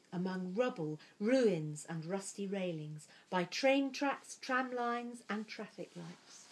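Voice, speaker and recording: A woman reading a picture book aloud with expressive, deliberate delivery, letting some phrases fall hushed and breathy. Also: medium pitch, poor audio.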